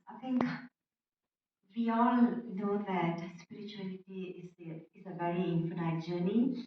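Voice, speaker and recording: A woman giving a measured, earnest, reflective talk as a participant at a retreat. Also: medium pitch. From Malaysia. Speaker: retreat participant sharing a testimonial. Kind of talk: reflective public sharing. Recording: echoey hall, distant dull mic.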